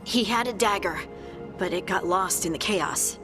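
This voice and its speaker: An actress playing an earnest scout companion in a video game, plain-spoken and matter-of-fact. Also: female voice, mid-range voice, moderate pace, over music, close to an everyday voice.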